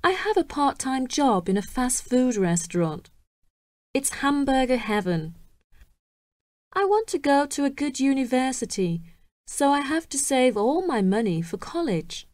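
A woman announcing listening exercises and reading a scripted self-introduction in a slow, deliberate way. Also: high-pitched, big pitch swings, darkish tone, no echo. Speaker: exercise announcer and dialogue reader. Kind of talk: scripted textbook narration.

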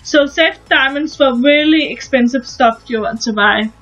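A young Danish woman with a high voice, talking through a game in a chatty, halting way, often stopping to restart her sentences. Her Danish accent is clear throughout. The sound is a little dull, recorded at home with soft game music underneath. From Denmark. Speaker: gaming channel host. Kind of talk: casual gameplay commentary.

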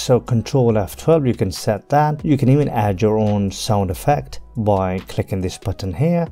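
A man presenting an instructional software voice-over, explaining step by step and addressing viewers directly. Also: on the low side. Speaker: tutorial presenter. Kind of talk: instructional screen-recording voice-over.

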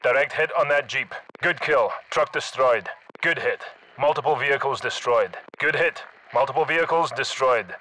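An actor playing a tough, commanding Scottish special forces soldier who gives clipped military callouts in a shooter video game. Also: male voice, medium pitch, normal speed, pushed and bright, slight background noise, lightly acted, rough recording. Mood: businesslike, terse. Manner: clipped, terse radio-style military callouts. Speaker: video game squad leader.

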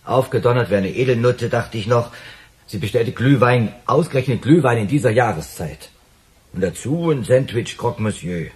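An actor playing a nervous, wordy waiter in a radio crime drama, defensive and excitable as he explains himself. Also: mid-range voice, moderate pace, natural voice. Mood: eager.

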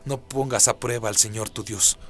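A steadfast young holy man played by a man answers firmly and solemnly in a radio drama. His voice is on the low side, with music underneath. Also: steady tone, normal speed, fairly bright tone.

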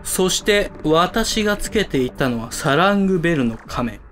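Pompous villain club president, sharp and theatrical. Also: male voice, a little high, animated, normal speed, soft background music. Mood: gloating, grand. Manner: grandiose, theatrical. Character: pompous villainous male school club president obsessed with masks. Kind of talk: amateur voice drama acting.

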